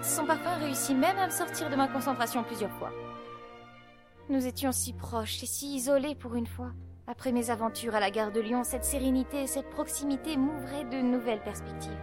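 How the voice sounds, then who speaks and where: A woman acting a high-voiced, bold young heroine. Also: a little fast, music in the background, natural voice. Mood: dreamy, enamoured. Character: bold, curious young woman journalist and singing student, 1888 Paris. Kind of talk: French audio drama acting with first-person narration.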